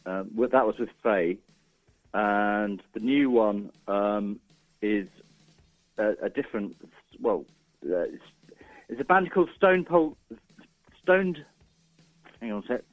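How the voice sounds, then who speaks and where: A man in his forties with a fairly low voice talks casually as a radio guest. He is informal and a little hesitant, and the sound is dry and slightly dull. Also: animated, takes it slowly, pauses often, no echo, soft background music. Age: 40s. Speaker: radio guest, doctor and record label owner. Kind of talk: casual chat.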